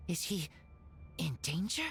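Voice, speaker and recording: Shy feral game youth, halting, slow, loyal, music behind. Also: male voice, fairly high pitch, animated, creaky, a bit bright, full character voice. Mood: worried, hesitant. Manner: halting, terse speech. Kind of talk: scripted video game dialogue.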